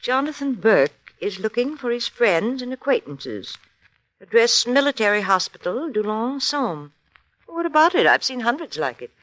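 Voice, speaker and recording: An actress playing an anxious woman reluctantly helping the resistance, worrying and expressive, in an old-time radio drama. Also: on the high side, normal speed, rough recording. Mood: concerned.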